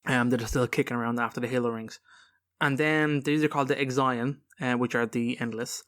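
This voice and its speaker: A man's voice: medium pitch, fairly even intonation, brisk, fairly bright tone, clear, resonant tone. Casual man co-hosting a gaming podcast. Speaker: podcast co-host. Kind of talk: casual video game lore discussion.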